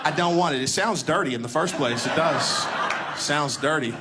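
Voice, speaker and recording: A man's voice: a little high, normal speed. Rambling fifties comic man, noisy club. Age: 50s. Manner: rambling, repetitive, animated when acting out stories. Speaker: stand-up comedian. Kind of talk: stand-up comedy set. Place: comedy club stage.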